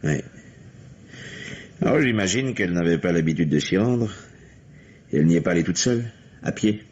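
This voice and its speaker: Deep-voiced probing police detective. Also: male voice, animated, normal speed, darkish tone. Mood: methodical, matter-of-fact. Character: methodical, probing police detective questioning a widower. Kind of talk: French radio mystery drama acting. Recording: muffled vintage radio drama recording.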